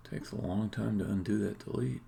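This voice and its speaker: Deep, very creaky-voiced man muttering while working. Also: fairly even intonation, a little fast, dark tone, slight background noise. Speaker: game developer live-streaming. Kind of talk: casual think-aloud live-coding commentary.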